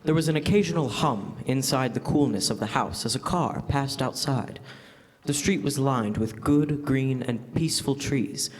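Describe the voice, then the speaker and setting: Narrator: man, medium pitch, scripted classic radio storytelling, live stage with long hall echo. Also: moderate pace.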